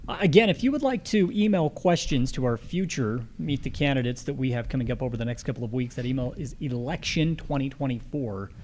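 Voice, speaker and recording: A man's voice: medium pitch, lively intonation, a little fast, hardly any pauses, a little mellow, a little hiss or hum. An American man moderating a candidate forum, putting questions to candidates and giving announcements.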